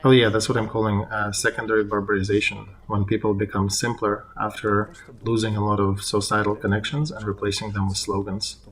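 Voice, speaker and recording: A man interpreting a political discussion live, in halting phrases as he translates on the fly. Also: fairly low pitch, flat delivery, a bit bright.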